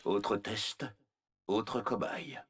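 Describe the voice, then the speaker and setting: An actor playing a cold, arrogant, menacing scientist villain in a video game, speaking in a measured, condescending, clinical manner with a husky voice. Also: male voice, on the low side, a bit bright, a little hiss or hum. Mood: detached menace. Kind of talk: scripted video game character voice lines.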